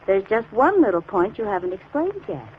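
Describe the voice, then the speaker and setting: Actress as playful sweetheart, very lively intonation. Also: female voice, medium pitch, moderate pace, lightly acted. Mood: teasing. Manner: light, teasing. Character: playful, teasing, inquisitive sweetheart of the detective. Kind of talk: 1940s radio comedy banter. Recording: muffled, noisy 1940s radio recording.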